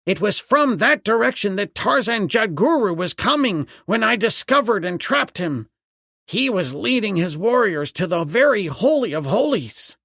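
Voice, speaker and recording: A man's voice: mid-range voice, big pitch swings, unhurried, few pauses, fairly bright tone, dry recording, studio quality. A man voicing an eager, deferential priest who serves a villain in an adventure audiobook. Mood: urgent. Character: eager, obsequious male priest, loyal henchman.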